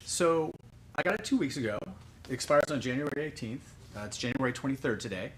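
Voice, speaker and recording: A man's voice: mid-range voice, lively intonation, fairly quick, a little hiss or hum, close to an everyday voice, rough recording. A man playing a rambling, oblivious shopper in a live improv sketch, hesitant and full of fillers.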